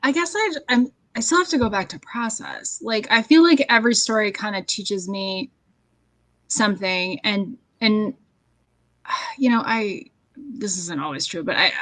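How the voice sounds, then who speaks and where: Hesitant woman, medium-pitched and dry. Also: lively intonation, fairly bright tone, no room sound. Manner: hesitant, reflective, halting. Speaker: guest author. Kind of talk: casual interview answers. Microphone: headset on a video call.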